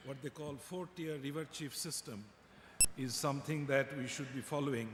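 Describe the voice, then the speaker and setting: A man's voice: medium pitch, normal speed. Man chairing in an echoey hall. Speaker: session chair. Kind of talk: formal chairing remarks. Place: large conference hall. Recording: echoey hall, clear background noise.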